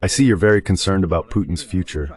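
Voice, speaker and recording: A man's voice: deep voice, fast talker, crowd noise. A man voicing informal, sardonic political commentary as a translated guest.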